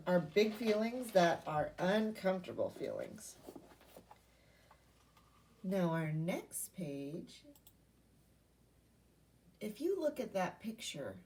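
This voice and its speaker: A woman's voice: lowish voice, dark tone, distant mic. A woman teacher giving a read-aloud lesson to young children, speaking in simple instructional phrases and asking questions. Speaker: primary school teacher.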